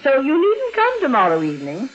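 A woman's voice: high-pitched, huge pitch swings, fairly quick. An obsessive, guarded aunt who hides murder behind composure. Her intonation swings widely in the manner of 1940s radio drama. The vintage recording is dull and muffled. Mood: feigned cheerfulness. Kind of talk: 1940s radio mystery acting.

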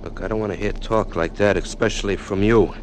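A man doing a gruff menacing political boss. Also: fairly low pitch, steady tone, a little fast, a little hiss or hum, lightly acted. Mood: bullying. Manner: bullying, gruff. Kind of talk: 1940s radio acting.

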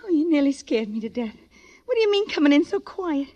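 An actress playing a frightened, pleading wife with breathless urgency in a 1940s radio drama. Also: female voice, high-pitched, big pitch swings, brisk, dark tone, dry recording, muffled recording. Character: frightened, pleading working-class wife at home. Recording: vintage 1940s radio broadcast.

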